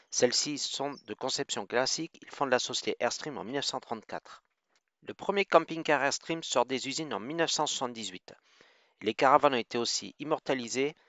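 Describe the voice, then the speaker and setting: Guy doing a vlog voiceover, gravelly voice, dry dull sound. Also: medium pitch, no echo. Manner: steady reading, even explaining tone. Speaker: narrator. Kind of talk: voice-over narration.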